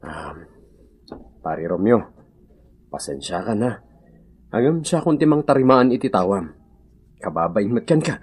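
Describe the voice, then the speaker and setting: An actor playing an excitable, apologetic village father in a radio comedy-drama, speaking expressively with an Ilocano accent. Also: on the low side, measured pace, pauses often, breathy edge, full character voice. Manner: exclamatory, expressive.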